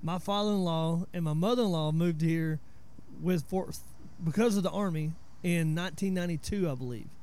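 Mellow-voiced Southern man telling stories. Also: a little high, moderate pace, hardly any pauses. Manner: laid-back storyteller, explains word origins. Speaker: podcast host. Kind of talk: casual chat.

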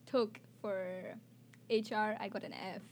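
A young woman from Pakistan, a student guest on a college radio show, speaking in a relaxed, thoughtful way with many filler words. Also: medium pitch, slow, creaky, slight background noise. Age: young adult. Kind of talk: casual radio interview. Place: radio studio.

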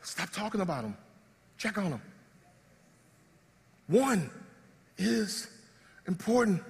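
Man, preacher, high-pitched, animated, long church reverb. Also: slow pace, creaky. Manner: animated, acts out body parts in comic voices. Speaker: pastor. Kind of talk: sermon. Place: church sanctuary.

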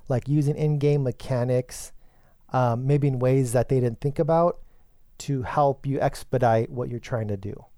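A man co-hosting a gaming podcast, a little hoarse and congested from a recent illness, talking hesitantly. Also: mid-range voice, dark tone, no room sound, clean studio sound. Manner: hesitant, lots of fillers and restarts. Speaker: podcast co-host. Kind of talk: casual podcast chat.

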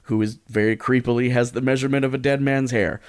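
A man's voice: mid-range voice, fairly even intonation, fast talker, clean tone, no echo, clean studio sound. A man co-hosting a podcast, giving casual advice in a rambling, jokey way and often interrupting himself. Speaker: podcast co-host.

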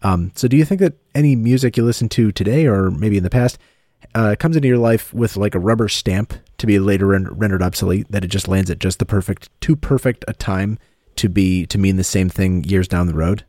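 A man with a fairly low voice hosts a podcast, reading listener questions and putting them to his guests. He hesitates with uhs as he goes. The recording is dry and close. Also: a little fast, mellow, no room sound. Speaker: podcast host. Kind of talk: casual podcast conversation.

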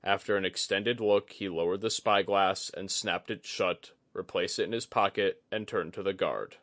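A man with a fairly low voice narrates fantasy fiction. It is a scripted audiobook read. The sound is dry, with very little room in it. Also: fairly even intonation, moderate pace, a bit bright, dry recording.

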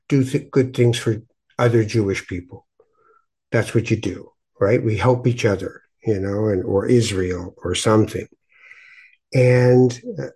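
A man hosts a podcast in a mellow, slightly rough-edged voice. He speaks conversationally and reflectively, repeating words as he gathers his thoughts. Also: lowish voice. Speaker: podcast host. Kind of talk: conversational interview with reflective introduction.

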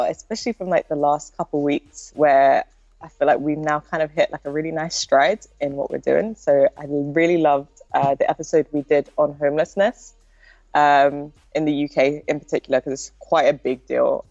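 A woman's voice: low-pitched, moderate pace, gravelly, a little mellow, dry recording, highs cut off. A young woman with a nasal voice, chatting casually as a podcast guest and filling her talk with likes and ums. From Britain. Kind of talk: casual interview chat.

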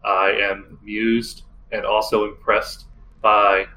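A man roleplaying at a tabletop game, speaking in a wry, deliberate way. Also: lowish voice, flat delivery, sharp tone, low-quality recording. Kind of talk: improvised in-character roleplay. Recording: faint background room noise.

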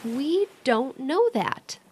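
High, lively American young big sister, played by a woman. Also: extremely slow, breathy edge, full character voice. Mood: earnest, worried. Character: protective, quick-tempered young big sister, deeply devoted. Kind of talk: modern audio drama acting.

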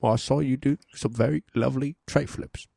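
A man voicing a posh, genteel older English gentleman with a put-on English accent, chatting politely. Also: medium pitch, fairly even intonation, normal speed, creaky, husky, no echo, studio quality. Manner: playful, theatrical.

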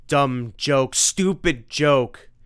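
Guy doing a big hammy vampire count, gloaty, shouty, smooth voice. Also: normal speed, natural voice. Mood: outraged. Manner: booming, melodramatic outbursts.

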